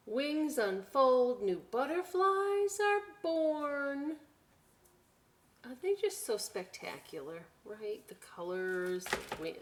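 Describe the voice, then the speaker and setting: Slow-paced woman hosting children's storytime. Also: a little high. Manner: expressive, drawn-out reading with exclamations; encouraging, talking directly to young children. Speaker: children's library storytime host. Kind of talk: read-aloud storytime with chatty asides.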